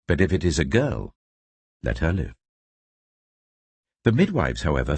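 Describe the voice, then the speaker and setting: A man reading scripture as a dramatic scripted narration, telling the story in a measured, expressive way. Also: a bass voice, slightly rough, dry recording. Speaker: Bible narrator.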